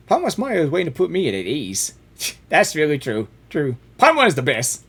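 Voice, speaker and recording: A woman game streamer giving casual, excitable live reactions and reading dialogue aloud, often repeating herself. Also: low-pitched, moderate pace, slightly rough.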